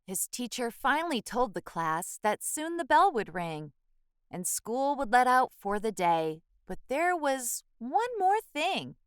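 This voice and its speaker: A woman reads a children's storybook aloud with a high, lively voice. Her delivery is slow and measured, with clean, smooth tone and expressive swings meant for young listeners. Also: dry recording, clean studio sound. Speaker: children's book reader and channel host. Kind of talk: expressive picture-book read-aloud.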